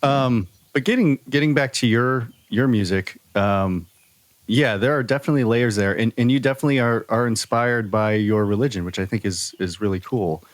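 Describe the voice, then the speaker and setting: Male podcast co-host, mid-pitched, halting and thoughtful, very dry recording. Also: no echo. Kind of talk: casual chat.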